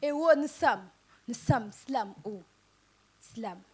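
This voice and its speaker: A woman from southern Senegal with a Senegalese French accent, reciting poetry in an impassioned, emphatic manner with dramatic pauses. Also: a little high, animated, breathy edge. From Casamance, southern Senegal. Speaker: poet reciting at a poetry contest. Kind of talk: declaimed slam poetry recitation.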